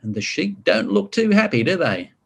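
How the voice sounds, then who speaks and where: A man reading a picture book to children, expressive, drawing out words and adding chatty asides and questions. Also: sits high, normal speed, gravelly. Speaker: story reader.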